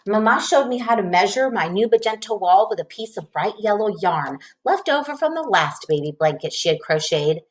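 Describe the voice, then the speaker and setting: A woman reading a children's storybook aloud in an expressive narrating style. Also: medium pitch, normal speed, few pauses. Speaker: storybook reader.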